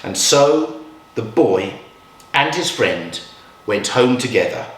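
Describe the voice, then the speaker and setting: A man reading a picture book aloud to children in a measured, expressive storytelling style with dramatic pauses. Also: mid-range voice. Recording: roomy echo, distant from mic.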